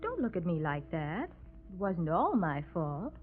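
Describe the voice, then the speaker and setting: A woman's voice: lowish voice, big pitch swings, unhurried. A woman playing a detective's wry, affectionate girlfriend with a teasing manner in an old radio drama. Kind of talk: 1940s-50s radio detective drama acting. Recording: muffled, noisy vintage radio recording.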